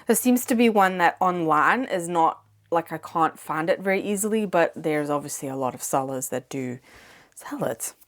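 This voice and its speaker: A woman with a slightly low voice chats casually to her viewers, rambling and restarting her sentences, filling gaps as she goes. English is not her first language, and she talks from home. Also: expressive, normal speed. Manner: chatty, rambling, with many fillers. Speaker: plant vlogger. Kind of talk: casual chat. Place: home, indoors.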